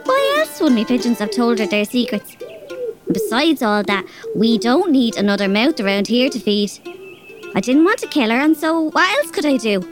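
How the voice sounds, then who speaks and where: A woman's voice: a little high, big pitch swings, normal speed, over music. A woman playing a cocky Irish robber girl. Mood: bossy. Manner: bossy, threatening, blunt. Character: tough cocky robber girl, bossy and blunt. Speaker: narrator. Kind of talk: audio drama acting.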